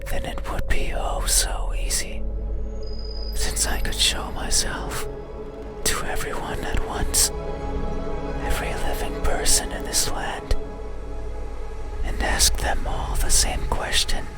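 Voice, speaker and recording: An actor voicing an eerie, needy creature in a horror visual novel, speaking in a breathy, voiceless whisper with halting, lingering words. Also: male voice, loud music behind the voice, lightly acted. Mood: yearning, unsettling. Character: eerie, needy creature with restless grasping hands.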